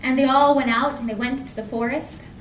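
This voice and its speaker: High-voiced woman storyteller in an echoey room. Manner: expressive, conversational, with pauses and repeated phrases for effect. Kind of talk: live oral folk tale storytelling. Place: indoor library program before an audience. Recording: echoey room from far off, faint noise.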